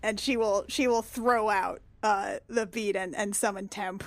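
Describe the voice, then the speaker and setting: A woman with a mid-pitched voice chats through her turn in a tabletop roleplaying game. She is informal and playful as she describes her character's moves. The sound is close and dry, with no room echo. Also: fairly even intonation, a little slow. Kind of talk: casual in-game chat, describing character's actions.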